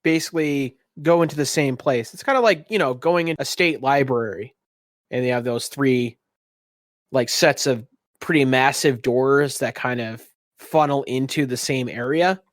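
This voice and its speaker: A man improvising descriptions as game master of a tabletop game, often pausing between phrases. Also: mid-range voice, dry recording, clean studio sound.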